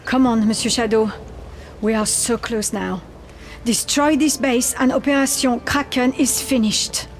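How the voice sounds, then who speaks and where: A woman's voice: on the high side, steady tone, measured pace, a little hiss or hum. An actress with a French accent playing a young resistance woman urging the hero on, with faint outdoor ambience behind. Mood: urgent. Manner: urgent, encouraging.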